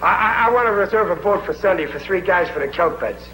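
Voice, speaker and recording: Stubborn flustered husband, stammering, ungrammatical, high voice, roomy old TV sound. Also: male voice, animated, fast talker, pushed and bright, a little hiss or hum. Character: blustery working-class husband, stubborn, easily flustered. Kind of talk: 1950s sitcom acting.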